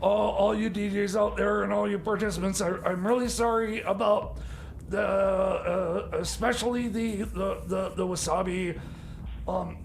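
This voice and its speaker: Male comedy radio host, very high gravelly voice, flustered stammerer, apologetic, slight background noise. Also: steady tone, unhurried, low-quality recording.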